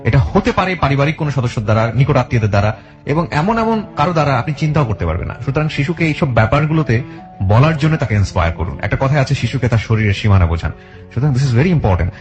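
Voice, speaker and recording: A man's voice: mid-range voice, lively intonation, fast talker. A man hosting a radio show, speaking emphatically to guest and listeners and mixing in English phrases. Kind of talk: interviewing and addressing listeners. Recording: dull lo-fi sound with music underneath. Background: background music.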